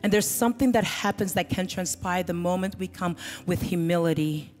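A woman's voice: fairly low pitch, moderate pace, darkish tone. A middle-aged woman pastor preaching a sermon on a church stage, holding a handheld mic, with earnest emphasis. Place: large church stage with band behind. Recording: reverberant hall with music.

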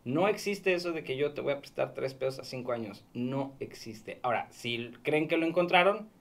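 A man's voice: medium pitch, animated, normal speed, vocal fry, a bit bright. A man in his thirties with a Mexican accent, explaining a loan scam on a radio show from a small studio booth. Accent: Mexican Spanish. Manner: earnest, warning, explanatory. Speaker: radio show consumer-fraud reporter. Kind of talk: radio talk segment. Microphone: studio mic on boom arm.

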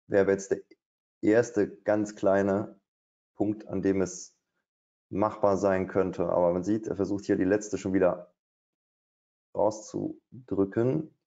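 A man's voice: low-pitched, little pitch movement, slow, long pauses, dark tone. A middle-aged man doing live trading commentary at his desk, thinking aloud with hesitations and fillers. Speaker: day trader. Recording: dull, lo-fi stream audio.

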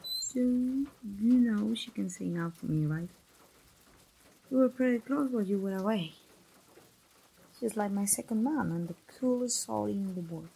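A woman's voice: medium pitch, a little slow, vocal fry, pauses often, warm tone, slight background noise, poor audio. A woman, voicing a chatty, teasing young best friend in an ASMR roleplay, playful and familiar, as if speaking straight to the listener. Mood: teasing, friendly. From Mexico. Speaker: voice actor.

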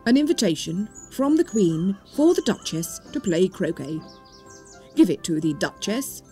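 A woman's voice: mid-range voice, very animated, unhurried, mellow, faint music behind. A woman voicing a courteous fish footman in a children's storybook, formal and announcing.

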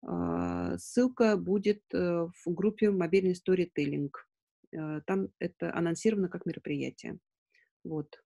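A woman's voice: lowish voice, steady tone, unhurried, vocal fry, dark tone. A middle-aged woman hosting a webinar in an informal way, hesitating and correcting herself as she goes. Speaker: webinar host. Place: small room at home, indoors.

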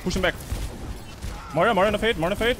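A young man talking at a rapid clip as he streams a shooter game, his voice sitting a little high. He barks callouts to his teammates, swearing freely. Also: music in the background. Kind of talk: live gameplay commentary with team callouts.

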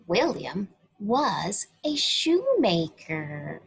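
A woman from the United States reading a picture book aloud to children, slow and expressive, asking them questions. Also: mid-range voice, gravelly, slightly rough. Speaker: children's story reader.

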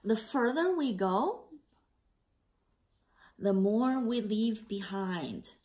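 A woman reading a children's picture book aloud in a careful, slightly halting way. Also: medium pitch, lively intonation, a little mellow. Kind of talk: read-aloud storytelling.

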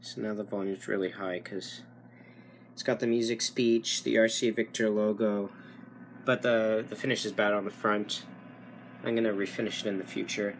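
Young guy showing off his restoration project, kinda low voice, halting and backtracking, filmed handheld in a room. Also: steady tone, background noise. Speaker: hobbyist radio restorer. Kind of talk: casual technical demonstration. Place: small furnished room indoors. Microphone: handheld camera, behind it.

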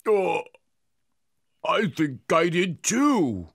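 A woman's voice: lowish voice, big pitch swings, drawn-out words, gravelly, breathy edge, no echo, clean studio sound, exaggerated voice. A woman voicing a cowardly, dim-witted male thief henchman in a storybook cartoon, hesitant, whiny and fearful. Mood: puzzled.

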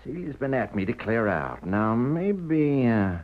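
Tinny old-radio Western villain, surly. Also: male voice, mid-range voice, very animated, unhurried. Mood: scheming. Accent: American. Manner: curt, bossy. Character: scheming, surly, domineering Western villain, adult brother. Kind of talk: 1930s radio western acting. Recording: tinny, band-limited 1930s radio recording.

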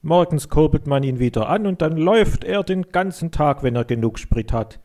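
A man's voice: a little high, animated, normal speed, warm tone, voice pushed up, natural voice. Man voicing an emphatic, hot-headed farm boy. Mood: scornful, insistent. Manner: emphatic, argumentative. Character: hot-headed, scornful farm boy who argues stubbornly.

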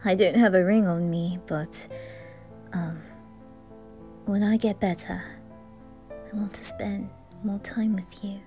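A woman voicing a wounded, lovestruck teenage boy in an ASMR roleplay, soft and breathy with a hesitant, tender manner. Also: mid-range voice, steady tone, takes it slowly, long pauses, faint music behind, natural voice. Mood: shy, hopeful. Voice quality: soft, breathy, often whispering.

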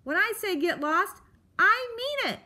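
A woman reading aloud and doing a crotchety old porcupine who bellows insults at everyone, loud and scornful. The voice sits fairly high yet pushes hard, the words coming slowly and deliberately. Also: expressive, heavily acted. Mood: cranky, bellowing. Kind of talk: storybook read-aloud.

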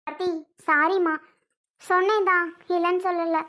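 A woman performs a young mother in an amateur family drama. Her voice is very high. She explains gently and apologetically, yet stays resolute. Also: fairly even intonation, normal speed. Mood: apologetic, resolute.

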